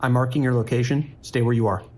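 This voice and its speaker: A man acts as a police dispatcher in an audio drama, with a mid-pitched voice. He is harried but commanding, keeping to short, urgent instructions and procedural phrasing. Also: steady tone, fast, a little mellow, lightly acted. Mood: controlled, firm.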